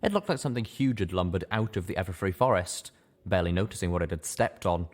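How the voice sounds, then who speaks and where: A man's voice: low-pitched, animated, fast talker. A man narrating a scripted adventure story in a dramatic reading style.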